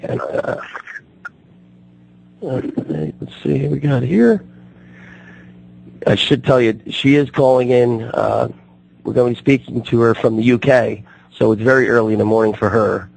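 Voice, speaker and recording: A man hosting a radio talk show, his voice still recovering from being lost, talking haltingly with many fillers and restarts. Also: medium pitch, vocal fry, a little mellow, husky, rough recording.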